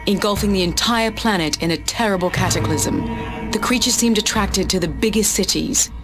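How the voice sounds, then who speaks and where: A woman's voice: mid-range voice, normal speed, close to an everyday voice. A woman playing a solemn survivor who narrates an apocalypse in scripted game style. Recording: music behind the narration. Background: music.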